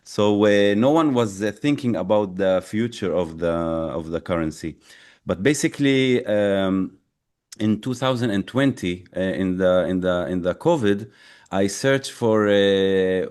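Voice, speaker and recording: A man with a low voice gives interview answers in English as a native Arabic speaker. He speaks in a halting way, often feeling for the next word as he tells his own story. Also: darkish tone. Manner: halting, searching for words. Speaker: interviewed crypto educator and founder.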